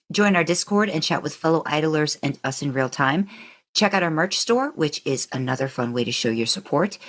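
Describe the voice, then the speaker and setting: A woman's voice: sits low, a bit bright. A woman co-hosting a podcast, talking in a casual, conversational style. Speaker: podcast co-host. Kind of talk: casual chat and podcast sign-off.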